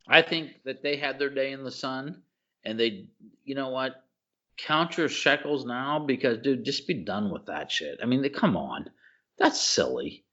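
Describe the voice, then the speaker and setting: A man's voice: medium pitch, animated, a little slow, fairly bright tone, dull audio. A man co-hosting a casual podcast, giving opinions emphatically, with slang, repetition and rhetorical questions. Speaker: podcast co-host.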